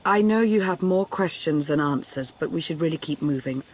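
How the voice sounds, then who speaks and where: A woman's voice: low voice, lively intonation, normal speed. An actress playing a composed, pragmatic female operative in a sci-fi game, controlled and dryly sardonic. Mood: serious, pragmatic. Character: composed, dry, pragmatic female companion agent.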